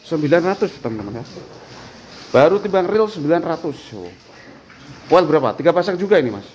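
A man's voice: fairly high pitch, big pitch swings, a little fast, darkish tone, poor audio. A man vlogging a walk through an outdoor cattle barn, chatting with a worker and repeating answers back, with a faint hum around him. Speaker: vlogger touring a cattle farm. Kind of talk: casual walk-through vlog with interview.

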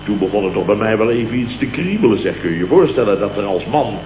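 An actor from the Netherlands playing a blustering, indignant company boss in a radio comedy, telling tales in clipped, mock-dramatic sentences with a Dutch accent. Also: male voice, on the low side, animated, fast talker, natural voice. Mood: mock-dignified. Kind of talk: 1960s-70s radio comedy acting. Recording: muffled old radio recording, noisy, roomy, hum.

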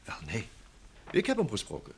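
A man's voice: on the low side, wildly swooping pitch, normal speed, vocal fry, slightly rough, exaggerated voice. A man acting a polite, busy secretary in an old Dutch radio drama, speaking in hesitant phrases with repeated words. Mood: explaining, uneasy. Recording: vintage radio drama recording.

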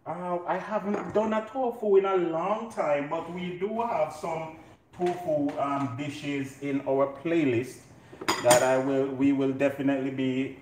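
A man's voice: on the high side, unhurried. A middle-aged man with a Jamaican accent, casually and playfully hosting a live home cooking demonstration. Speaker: home cook and show host. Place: indoor home kitchen. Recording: roomy kitchen, clear noise, pot clatter.

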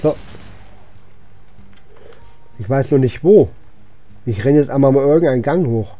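A man's voice: medium pitch, a little slow, warm tone, highs cut off. A man gives casual, rambling video game commentary, thinking aloud as he plays. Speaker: gaming channel host. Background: game music and sound effects.

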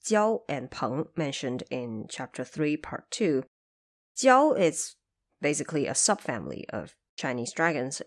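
Female host for scripted explanatory narration: low register, very lively intonation. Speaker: host of production notes for an audio drama series.

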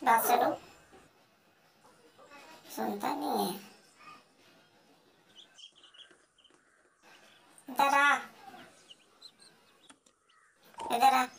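A woman's voice: sits high, takes it slowly, gravelly, fairly bright tone, far from the mic, a little hiss or hum, exaggerated voice. A woman voicing a hungry, scheming female house cat, muttering plans to herself with lots of hums. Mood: hungry plotting. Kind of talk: comic pet voice-over.

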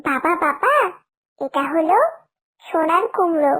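A woman voicing a sweet, excitable little village girl in a cartoon. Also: high voice, measured pace. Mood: excited, happy. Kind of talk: cartoon voice acting.

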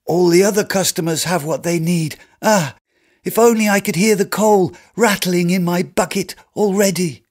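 A man's voice: high voice, normal speed, a little mellow, pitched above the performer's own voice. A man voicing a freezing poor man who humbly pleads for coal, in a storybook reading. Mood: pleading.

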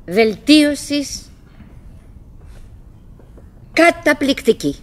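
An actress playing a chattering, wistful middle-aged wife who is stubbornly cheerful, musing aloud in fragments and exclamations in a theatrical radio play. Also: female voice, high voice, sing-song. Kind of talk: theatrical radio drama monologue. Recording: noisy old radio drama recording.

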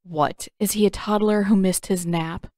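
A woman's voice: medium pitch, fairly even intonation, normal speed, a little mellow, dry recording, lightly acted. Woman doing a cocky bounty hunter gal in a story, flippant and mocking, dry close sound. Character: sardonic, brash young woman bounty hunter. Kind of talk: audiobook character voice.

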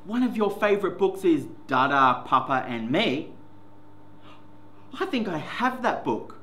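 A man hosts story time, reading a picture book to young children in an expressive, sing-song way. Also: on the high side, a little slow. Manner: expressive, sing-song, addressing small children with simple words. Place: indoor classroom reading corner. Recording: roomy echo with faint background noise.

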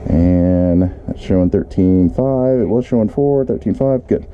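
A man's voice: on the low side, unhurried. A middle-aged man giving a casual, rambling how-to walk-through, thinking aloud into a handheld camera. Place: outdoors beside a camper, later inside it. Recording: muffled handheld camera audio with clear background noise.

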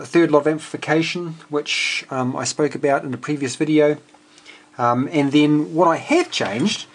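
A man with a New Zealand accent giving a casual, unscripted technical walkthrough as a radio hobbyist, hesitant and speaking in short phrases. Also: mid-range voice. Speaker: amateur radio hobbyist presenting his homebuilt receiver. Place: indoors at a home workbench. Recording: clearly noisy home video with clicks.

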